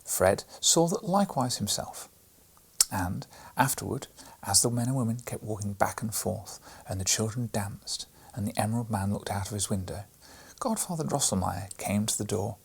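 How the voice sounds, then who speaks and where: A man reading a classic children's story aloud as a bedtime audiobook, narrating softly and often in a breathy, whispered way. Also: lowish voice, expressive, normal speed.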